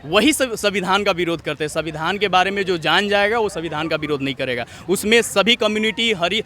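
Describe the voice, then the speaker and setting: A man's voice: high-pitched, a little fast, pushed and bright, a little hiss or hum. A young man giving earnest, repetitive, opinionated answers in speech-like phrasing as a student leader in a street interview. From Jharkhand, India. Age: young adult.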